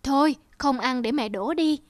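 A woman playing a poor, devoted, careworn mother in a cartoon, speaking gently and quietly. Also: sits high, moderate pace, natural voice. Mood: tender. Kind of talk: cartoon voice acting.

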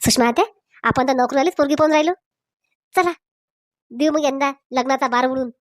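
A woman's voice: sits high, a little fast, no room sound, studio quality, lightly acted. A woman voicing an eager young village bachelor in a Marathi comedy cartoon, with a rural Varhadi accent and urging, colloquial talk. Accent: rural Maharashtra, Varhadi Marathi. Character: eager young village bachelor, brother visiting his sister.

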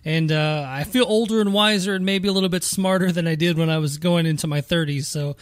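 A man's voice: on the high side, a little fast, no echo, clean studio sound. A man in his late thirties hosting a podcast, asking long rambling questions in a chatty way. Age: 30s. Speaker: podcast host. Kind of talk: casual interview talk.

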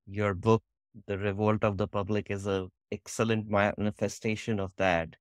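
Lowish-voiced man interviewing, dry sound. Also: level tone, moderate pace, dry recording. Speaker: interviewer, podcast host. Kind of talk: measured, prepared interview questions.

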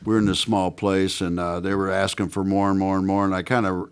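Older rock guitarist guest, gravelly low voice, relaxed chatty delivery. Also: male voice, fairly quick. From United States. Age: 60s. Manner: laid-back, says "man" and "you know" constantly. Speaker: guest musician. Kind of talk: casual radio interview chat. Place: small radio studio, indoors. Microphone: stand mic at guitar.

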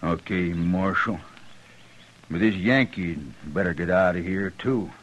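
Husky, slow, mean western bully. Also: male voice, deep voice, lo-fi sound. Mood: menacing. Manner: drawn-out, taunting. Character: mean, sneering frontier bully. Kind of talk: 1950s radio western acting.

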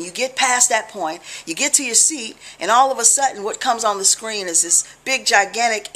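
A middle-aged American woman giving an informal religious talk to camera, conversational and deliberate, with emphatic check-ins to the listener. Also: medium pitch, fairly bright tone. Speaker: religious video blogger. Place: small living room, indoors on a couch.

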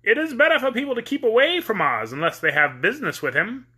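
A man voicing a small Munchkin man who speaks gravely and earnestly in a storybook reading. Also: extremely high pitch, lively intonation, fast, sharp tone, voice pushed up, heavily acted.

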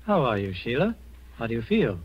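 Husky, expressive asylum doctor. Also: male voice, medium pitch. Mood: calm, professional. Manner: measured, formal, hesitant pauses. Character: authoritative, measured male asylum doctor. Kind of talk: 1940s radio acting. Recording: muffled old radio recording.

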